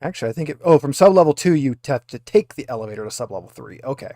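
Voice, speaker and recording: A man's voice: mid-range voice, lively intonation, racing, dark tone, no room sound, clean studio sound. A man acting as game master, casually narrating a tabletop roleplaying session and giving rulings.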